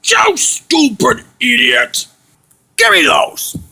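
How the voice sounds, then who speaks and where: Exasperated boss scolding a henchman, male performer: forceful, creaky, stammering rants, homemade skit. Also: extremely high pitch, very animated, takes it slowly, heavily acted. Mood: exasperated, angry. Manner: stammering, repetitive, ranting. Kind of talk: amateur improvised skit.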